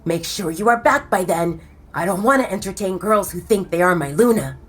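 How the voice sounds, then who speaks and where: A woman's voice: lowish voice, expressive, moderate pace, breathy edge, soft background music. A woman voicing a stern, exasperated motherly older she-wolf in an audio drama. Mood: displeased, stern.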